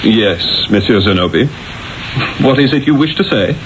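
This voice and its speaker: A man's voice: fairly low pitch, lively intonation, moderate pace, natural voice. Guy doing fussy exasperated renaissance painter, very up and down delivery, old radio drama, dull hissy tape. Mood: evasive, irritated. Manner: theatrical, emphatic, probing. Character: Leonardo, exasperated, urbane Renaissance master painter. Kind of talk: 1970s radio drama acting.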